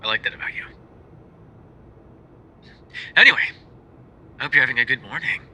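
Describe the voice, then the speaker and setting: A man playing a tender, sheepish, bookish mafia boyfriend in an ASMR roleplay, speaking softly and hesitantly as if on a phone call, often dropping to a whisper. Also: medium pitch, sing-song, very fast, husky, full character voice. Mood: fond, playful. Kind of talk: ASMR roleplay voice acting, intimate phone voicemails.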